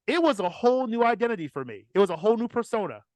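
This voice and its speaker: A man with a high-pitched voice tells a casual story as a podcast host. He swears freely and emphatically, with a comic storyteller's delivery. The sound is dry and close. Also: sing-song, very fast, darkish tone, dry recording.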